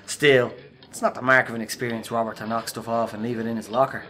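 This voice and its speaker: A male actor as a fair-minded workmate in a radio play. He has an average-pitched voice and a casual, plain-spoken way of chatting. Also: level tone, fast, a bit bright, close to an everyday voice. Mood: puzzled. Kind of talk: radio drama acting.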